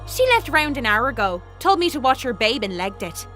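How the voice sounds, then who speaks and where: A woman's voice: fairly high pitch, very animated, brisk, bright, forceful tone, faint music behind. A woman voicing a breezy, blunt, carefree woman in an audio mythology drama, offhand and colloquial. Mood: casual, breezy.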